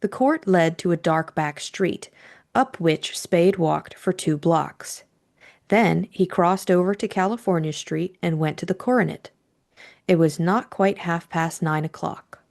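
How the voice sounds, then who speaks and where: A woman's voice: on the low side, measured pace, creaky, darkish tone. A woman reading scripted audiobook narration as the storyteller.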